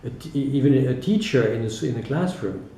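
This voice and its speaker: A man's voice: medium pitch, animated, a little fast, dark tone, a little hiss or hum. A man with a French accent giving reflective, explanatory interview answers as a teacher, speaking hesitantly and often checking in with a short tag. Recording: echoey, roomy sound.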